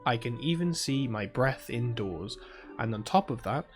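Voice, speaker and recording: A man's voice: medium pitch, animated, moderate pace, faint music behind, close to an everyday voice. A British man reading aloud as a sleepy, proud teenage girl mage, in a drowsy, grumbling first-person voice. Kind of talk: reading a visual novel aloud.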